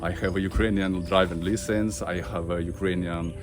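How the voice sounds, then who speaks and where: A man in his thirties with a Russian accent, talking to camera about his family, halting with many fillers and restarts. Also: deep voice, steady tone, noisy background. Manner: halting, many fillers and restarts, simple non-native grammar. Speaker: vlogger. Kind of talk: personal talk to camera.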